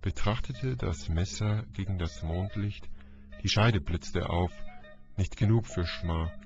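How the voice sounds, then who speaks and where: Slow, low-voiced male narrator. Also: vocal fry. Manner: deliberate, declamatory. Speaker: audiobook narrator. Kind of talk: dramatic literary narration. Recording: dull lo-fi audio, music behind. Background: music.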